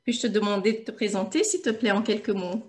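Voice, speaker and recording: A woman hosting an interview on her own channel, asking measured, friendly questions. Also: medium pitch.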